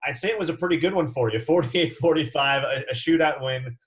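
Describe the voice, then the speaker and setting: A man hosting a casual interview, conversational and halting as he searches for his words. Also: a little high. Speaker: interviewer, host.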